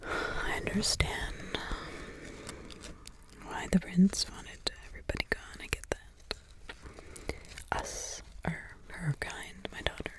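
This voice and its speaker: A woman's voice: fairly low pitch, steady tone. A woman whispering in ASMR roleplay as a weary, wry witch mother hiding in the forest. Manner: unvoiced whisper, slow and drawn-out. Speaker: ASMR creator.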